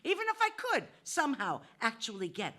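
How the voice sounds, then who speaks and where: An actress plays a sharp-tongued woman banker who lectures and scoffs at every plan. Her bright, lively voice rises high as she rattles off objections. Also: fairly quick, heavily acted. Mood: exasperated. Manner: exasperated, lecturing, sarcastic. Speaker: actor in a live audio play. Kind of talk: live stage audio drama.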